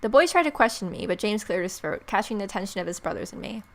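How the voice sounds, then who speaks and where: A woman's voice: mid-range voice, lively intonation, fast talker. A young woman hosting a let's-play, reading game narration aloud expressively and dropping in playful, casual reactions. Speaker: let's-play video host. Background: game music.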